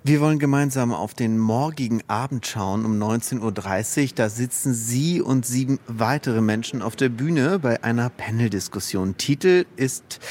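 A man's voice: mid-range voice, a little mellow. Male radio interviewer with a mid-range voice. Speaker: radio host interviewing a guest.

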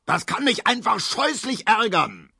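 A choleric, blustering middle-aged man rants loudly in a high, strained voice, as a man reading a radio novel puts him on. The voice is husky and the recording is close and dry. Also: big pitch swings, a little slow, no room sound, heavily acted. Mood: ranting. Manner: shouting, blustering. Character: choleric middle-aged male lodger, loud betting man.

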